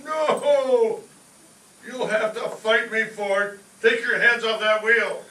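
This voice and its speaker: A man playing a blustering, excitable working-class husband and father in an old-time radio comedy, loud and exclamatory. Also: extremely high pitch, slow pace, exaggerated voice. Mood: indignant. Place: live stage show in a roomy hall. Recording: echoey room, distant mic, noisy.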